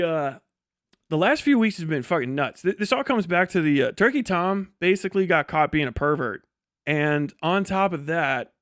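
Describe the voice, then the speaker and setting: A man livestreaming casual commentary, blunt, scornful and full of swearing, rambling in a halting way with false starts and digressions. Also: on the high side, a bit bright, no room sound, studio quality.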